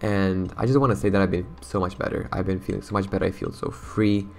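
A young man from Romania, speaking English as a second language, with a low voice full of vocal fry. He rambles in a relaxed, chatty way, leaning on "bro" and "like". Soft keyboard typing and faint noise sit behind him. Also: quick pace. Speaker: gaming channel host. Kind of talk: casual gameplay commentary and chat.

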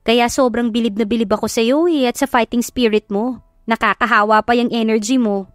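A woman's voice: on the high side, fairly even intonation, brisk, dry recording, clean studio sound, lightly acted. A woman with a Filipino accent voicing a sassy, joking best friend with campy banter in an audio drama. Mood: admiring. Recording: background music under the voice.

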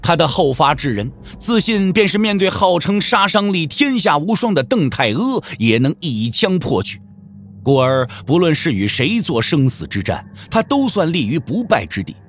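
A man's voice: on the high side, big pitch swings, moderate pace. A man narrating a wuxia audio drama as a scripted storyteller, with theatrical, suspenseful delivery. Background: background music.

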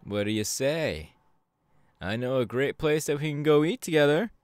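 Man acting as young male hero, friendly goofball, bashful and playful, hesitant stammer, animated intonation, dry close ASMR roleplay. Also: a little high, moderate pace, dry recording, close to an everyday voice. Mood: warm, tentative.

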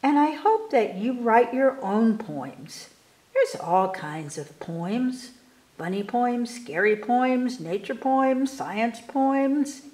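A woman reading children's poems aloud at storytime, expressively and with careful, animated delivery for young listeners. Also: fairly high pitch, reverberant. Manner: animated, unhurried, enunciating for children. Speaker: library storytime reader. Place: indoors in a room, book filmed on table.

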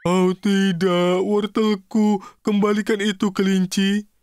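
An excitable, childlike cartoon fellow with a fairly high voice. He speaks unhurriedly, exclaiming dramatically in a sing-song way and scaring easily. Loud music plays behind him. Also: slow. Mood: alarmed. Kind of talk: animated children's cartoon dub.

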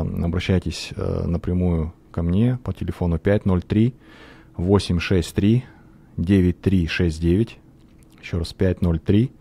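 Low, dark-voiced male radio host. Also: creaky, slightly rough. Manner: measured, hesitant, explanatory. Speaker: radio show host, realtor. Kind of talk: educational radio talk. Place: radio studio. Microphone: studio microphone on arm, headphones.

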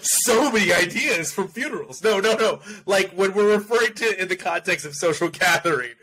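A congested man in his thirties trading quick jokes as a podcast co-host. His voice is bright and forceful, close on a studio mic at home. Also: sits high, animated, rough recording. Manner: joking, quick banter. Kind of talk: casual chat. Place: home office, indoors. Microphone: large studio mic on arm, video call.

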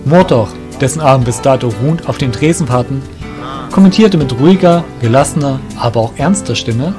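A man's voice: medium pitch, lively intonation, brisk, music in the background. A man reading scripted narration for a fantasy audio drama.

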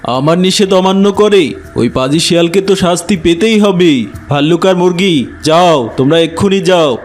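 A man's voice: high-pitched, normal speed, close to an everyday voice. A man voicing a blustering big cat in a children's animal cartoon, talking in a colloquial way. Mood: indignant, commanding. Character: big cats: bossy lion king, grumbling tiger.

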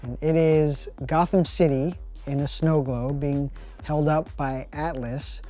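A man's voice: a little high, slow pace, soft background music. A man in his thirties, a prop maker, casually showing and explaining his work in a workshop, talking easily with fillers. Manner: relaxed, chatty, explaining with fillers. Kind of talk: casual show-and-tell narration. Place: indoor barn workshop with arcade machines.

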